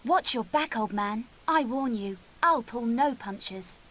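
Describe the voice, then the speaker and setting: An actress playing a confident, cheeky young adventurer in training in a video game, with spirited, dry wit. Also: female voice, a little high, expressive, slow, a bit bright, a little hiss or hum. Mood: cheeky, defiant.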